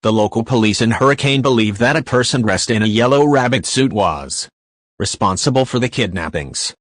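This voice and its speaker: A man's voice: mid-range voice, expressive, a little fast, fairly bright tone, dry recording, clean studio sound. A man playing a serious, dismissive news anchor in an audio drama newscast, with a formal presenter's delivery.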